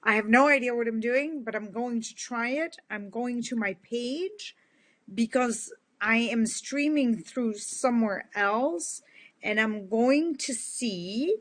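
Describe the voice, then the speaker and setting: A woman in her fifties from the Netherlands, speaking with a big booming voice in an earnest, heartfelt live-stream talk to her followers as a kindness speaker. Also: slow.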